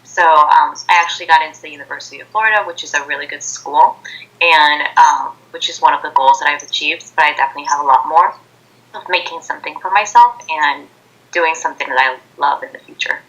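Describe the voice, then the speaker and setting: A woman's voice: low-pitched, fast talker, fairly bright tone. A twenty-year-old woman from Ecuador, a native Spanish speaker, answering interview questions informally over a dull-sounding internet video call. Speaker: interview guest, college student. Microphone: Skype video call.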